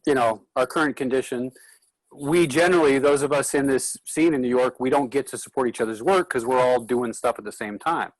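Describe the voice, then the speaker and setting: A man's voice: mid-range voice, a little fast, no room sound, rough recording. A man, an actor chatting casually and asking questions after a play reading. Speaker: actor, colleague giving feedback. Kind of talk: casual chat.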